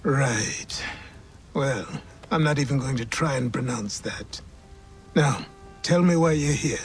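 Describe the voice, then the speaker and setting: An actor playing a gruff, weary old mentor, a cynical and sardonic retired assassin in a video game cutscene. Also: male voice, medium pitch, takes it slowly, faint music behind. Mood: weary, dismissive. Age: older.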